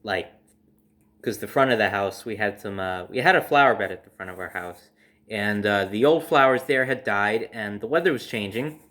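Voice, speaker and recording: A young adult man telling a casual personal story as host, in a dramatic, emphatic manner with drawn-out phrases. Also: fairly low pitch, sharp tone.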